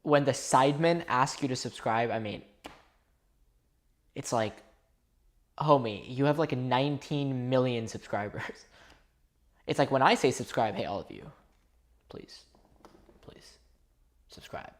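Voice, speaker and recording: A man's voice: mid-range voice, measured pace, long pauses. Rambling young-sounding male streamer. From India. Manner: rambling, restarts, chatty asides to viewers. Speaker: livestreamer. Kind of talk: casual live-stream chat.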